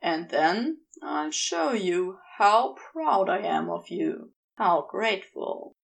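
Woman voicing a slow, commanding, tender male demon. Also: mid-range voice, big pitch swings, gravelly, exaggerated voice. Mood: warm praise. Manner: slow, deliberate, praising commands. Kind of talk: fan fiction audiobook character voice.

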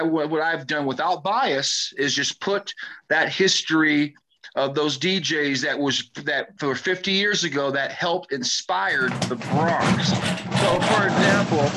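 Bright-voiced man, rambling with restarts. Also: fairly high pitch, a little hiss or hum, poor audio. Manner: rambling, repeats and restarts phrases. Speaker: guest historian and curator. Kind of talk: rambling online talk-show interview.

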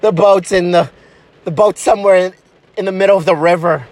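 A performer voicing a needy, sappy male lover who sounds close to crying, halting and pleading, in a comedy parody of romance. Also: medium pitch, a little mellow, close to an everyday voice. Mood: flustered. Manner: halting, pleading, repeats himself. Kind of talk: improvised parody of romantic melodrama.

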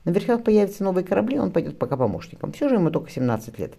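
A jovial admiral acted by a male reader from a historical storybook. He is fond and easygoing, talking fast with lively swings of pitch. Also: gravelly, full character voice. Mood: reassuring, cheerful. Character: jovial, reassuring admiral husband in historical novel.

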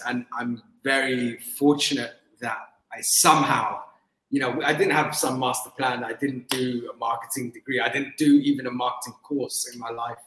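A man's voice: medium pitch, fairly bright tone, echoey room, rough recording. A man from London, a guest in an interview, talking reflectively and hesitantly with many fillers. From London, England. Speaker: interview guest, business owner. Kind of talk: casual interview conversation.

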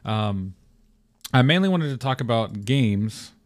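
A young man hosting his own podcast alone, with a deep morning voice, talking in a rambling, relaxed way with lots of fillers and restarts. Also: takes it slowly, no echo, clean studio sound. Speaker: podcast host. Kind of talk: casual solo podcast talk.

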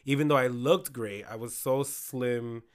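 A hoarse-voiced man talking in a casual podcast chat, animated, acting out quoted reactions. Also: medium pitch, a little slow. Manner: chatty, animated storytelling with quoted asides.

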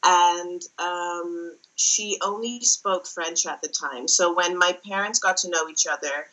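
A young woman from the Netherlands speaking thoughtfully as an interview guest, with frequent pauses and restarts. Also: lowish voice, sharp tone, poor audio. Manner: thoughtful, many fillers and restarts. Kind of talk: casual interview talk.

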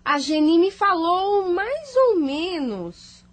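A woman's voice: extremely high pitch, very animated, slow pace, full character voice. An actress playing an impulsive, pleading, lovestruck office woman in an emotive radio soap style. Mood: anxious.

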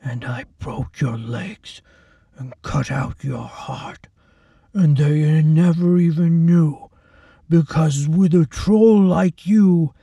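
A man's voice: high-pitched, mellow, slightly rough, no room sound, recorded from a distance, highs cut off, voice pushed up, full character voice. A man voicing a brutal, hulking male orderly with a thick voice who talks in a gloating, leering way. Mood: sadistic.

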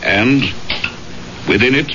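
An actor playing a bitter, haunted knight in a 1970s radio drama, speaking in formal, sardonic, deliberate phrases. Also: male voice, medium pitch, expressive. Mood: grim, ominous. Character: bitter, haunted English knight guarding a dark secret. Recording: muffled, noisy 1970s radio recording.

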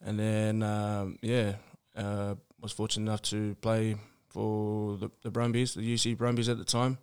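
A man's voice: fairly low pitch, monotone, normal speed, clean tone. A man from Melbourne with an Australian accent, chatting casually as an interview guest in a laid-back, hesitant, colloquial way. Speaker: podcast guest, rugby player.

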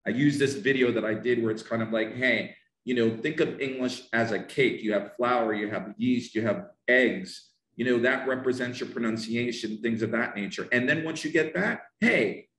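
Passionate New Jersey man in a roomy space. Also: mid-range voice. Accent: Northern New Jersey. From United States. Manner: emphatic, animated, repeats himself for stress. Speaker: English pronunciation teacher. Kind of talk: passionate podcast conversation.

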